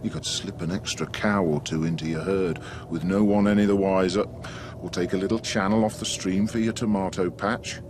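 A man's voice: low-pitched, fairly even intonation, normal speed, close to an everyday voice. An actor in a radio drama playing a wry, self-justifying man who argues his case by reasoning aloud. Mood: rueful. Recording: radio drama with background noise.

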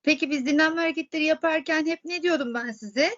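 A woman's voice: sits high, fast talker, a bit bright, muffled recording. A woman teaching yoga to children, guiding relaxation with encouraging, repeated questions. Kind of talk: guided relaxation instruction for children.

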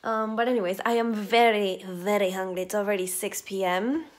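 A woman in her twenties talks casually into a phone held at arm's length in a small bedroom. She chats with lots of "like" and quick giggles. Her voice is a little croaky. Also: medium pitch, steady tone. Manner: chatty, lots of "like", giggly asides. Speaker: vlogger. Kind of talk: casual vlog chat.

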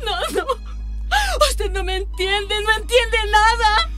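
A woman's voice: extremely high pitch, fairly even intonation, slow, loud music behind the voice. An actress with a Colombian accent playing an emotional, defensive mother in a radio soap opera. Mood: indignant, distraught. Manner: emotional, pleading, indignant. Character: distraught, defensive mother of a young girl.

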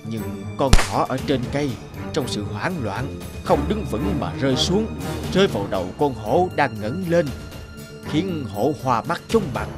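A man playing a wise, patient elderly father in a cartoon, measured and instructive as he gives advice. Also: medium pitch, very animated. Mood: storytelling. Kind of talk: cartoon dialogue and storytelling. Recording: background music under speech.

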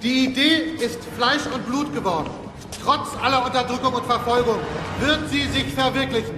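Impassioned orator, high, ringing, reverberant. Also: male voice, normal speed. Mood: impassioned, defiant. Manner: solemn, rhetorical declamation. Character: impassioned male 19th-century revolutionary orator addressing the people. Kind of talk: declaimed political speech, historical dramatization. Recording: echoing hall with long reverb.

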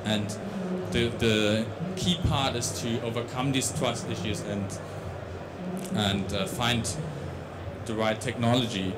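A man's voice: mid-range voice, fairly even intonation, takes it slowly, very noisy. A young man with a Dutch accent giving a tech conference talk on a large stage into a handheld mic, with many restarts and fillers. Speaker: conference speaker.

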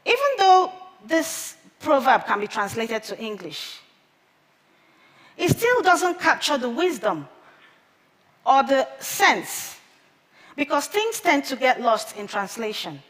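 A woman in her thirties, a native Igbo speaker, speaks slowly and deliberately from a theater stage, her fairly high voice rising and falling in lively swings. Her headset mic picks her up clearly, with a long reverb tail ringing through the large hall. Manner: slow, measured delivery with careful pauses. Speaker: conference speaker. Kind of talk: prepared public talk.

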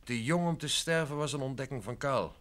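A man's voice: medium pitch, fairly quick, fairly bright tone, close to an everyday voice. An actor playing an affable, obliging male book publisher in an old Dutch radio drama, chatty and businesslike.

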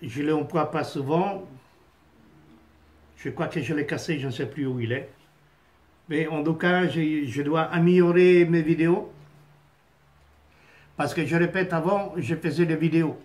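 A man in his fifties with an Italian accent, chatting haltingly to camera from a small home office, with many fillers and restarts. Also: on the high side, darkish tone. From Italy. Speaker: vlogger. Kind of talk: casual rambling monologue to camera. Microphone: phone camera on a stand at desk.

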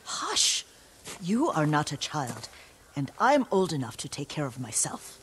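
A woman playing an elderly, devoted caretaker in a video game drama, speaking tenderly and haltingly, often hushed. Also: low-pitched, wildly swooping pitch, a little hiss or hum, heavily acted. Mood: fussing. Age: older. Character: elderly devoted female caretaker, gentle, wistful, stubborn.

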